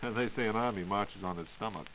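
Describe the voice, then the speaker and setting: Quick, low-voiced American man, old radio host. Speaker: radio host asking questions. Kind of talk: 1940s radio interview, scripted. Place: radio studio. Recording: muffled 1940s radio recording with hiss.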